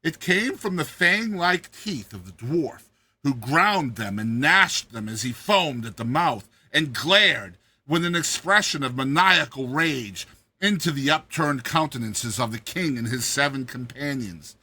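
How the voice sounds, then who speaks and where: Man, dramatized story narration, intense bright tone, animated intonation, theatrical deliberate phrasing. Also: mid-range voice, husky. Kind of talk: dramatized reading of a classic short story.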